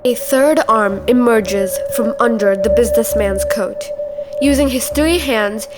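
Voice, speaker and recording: A young woman reading scripted narration for a student radio play, measured and storytelling. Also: a little high. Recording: loud music bed behind.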